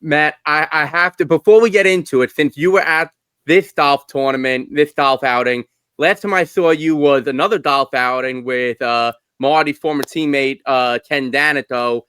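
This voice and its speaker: Clear-voiced man co-hosting a podcast. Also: fairly high pitch, normal speed, pushed and bright, no echo. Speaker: podcast co-host. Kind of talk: casual sports podcast chat.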